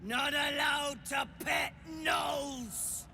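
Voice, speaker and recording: A woman voicing a crazed small female creature who talks in clipped, broken phrases with a gravelly voice. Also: sits high, steady tone, very slow, pushed and bright, shouting, a little hiss or hum, full character voice. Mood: excited. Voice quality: gravelly, creaky.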